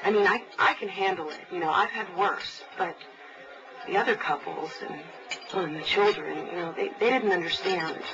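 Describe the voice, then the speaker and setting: A woman's voice: on the low side, normal speed, close to an everyday voice. An actress playing an anxious, wounded wife and mother in an audio drama, speaking hesitantly and earnestly. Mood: hurt, anxious. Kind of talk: naturalistic audio drama acting. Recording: muffled noisy tape with background chatter.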